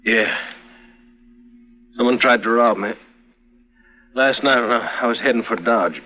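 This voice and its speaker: An actor playing a wounded young cowhand who talks in weak, halting, guarded bursts, in a 1950s radio drama. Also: male voice, medium pitch, lightly acted. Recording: muffled old radio broadcast.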